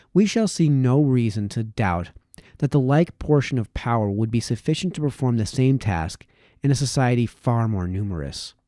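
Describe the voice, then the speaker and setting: A man reading formal essays aloud as narration, with measured, emphatic phrasing and deliberate pauses. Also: mid-range voice, dark tone, dry recording, studio quality. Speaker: amateur reader of historical essays.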